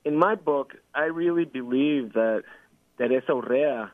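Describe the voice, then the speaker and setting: A man's voice: medium pitch, unhurried, no room sound. A man, a historian answering questions as a guest on a radio show, thoughtful and hesitant with many restarts. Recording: tinny phone-line sound.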